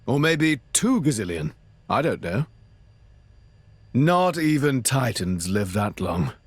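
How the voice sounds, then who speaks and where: A man's voice: mid-range voice, very animated, slow, breathy edge, no echo, heavily acted. A man voicing an eager, naive, good-hearted teenage hero in a video game, casual and full of wonder. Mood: puzzled. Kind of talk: video game character acting.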